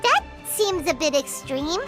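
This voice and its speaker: A tiny floating companion girl for an anime game. The voice is extremely high, squeaky and childlike, bouncing widely in pitch with open curiosity. Loud music plays behind it. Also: sing-song, a little slow, exaggerated voice. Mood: curious, surprised. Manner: inquisitive, exclaiming.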